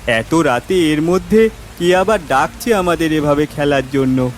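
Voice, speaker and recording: A man voicing a curious, sensible village boy in a cartoon, boyish and expressive. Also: a little high, a little slow, a little hiss or hum, lightly acted. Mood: wary, curious. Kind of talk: cartoon voice acting. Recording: clear music behind the voice.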